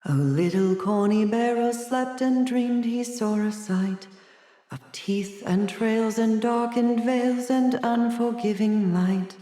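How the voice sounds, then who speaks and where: Unhinged, slow-spoken lady doctor in echo. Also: mellow, distant mic, soft background music. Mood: eerie, singsong reciting. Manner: theatrical, erudite, haughty, swinging from whispers to shrill outbursts. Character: unhinged, haughty woman doctor locked away in an asylum. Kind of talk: dramatic video game character acting.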